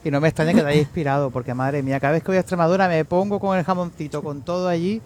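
A middle-aged man from the Canary Islands with a softened Canarian Spanish accent, chatting effusively as a makeup artist guest in a radio interview. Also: on the high side, rapid-fire, a little hiss or hum. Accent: Canarian Spanish, somewhat softened.

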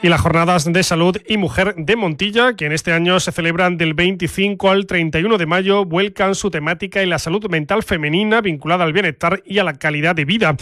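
A man's voice: high-pitched, fairly bright tone, no room sound, studio quality. A man, presenting local radio news from a script.

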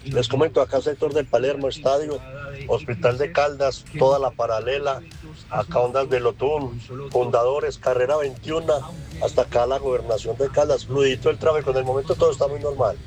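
A man calling a radio show, briskly listing streets in a traffic report. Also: medium pitch, fairly even intonation, a little mellow, soft background music, low-quality recording. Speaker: caller.

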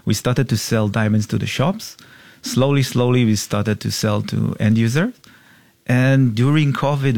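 Gravelly low-voiced man from Israel. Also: a little mellow, no echo. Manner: halting, simple phrasing with fillers. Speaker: diamond company co-founder. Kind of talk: interview answers.

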